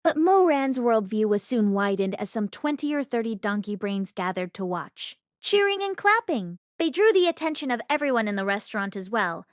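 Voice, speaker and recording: A woman reading a novel aloud as an audiobook narrator, in an expressive storytelling style. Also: on the high side, normal speed, few pauses, no echo, studio quality. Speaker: audiobook reader.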